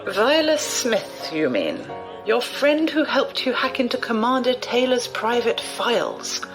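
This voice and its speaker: A woman playing a shrewd, imperious old noblewoman in an audio drama, measured, condescending and amused. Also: fairly high pitch, very animated, music in the background.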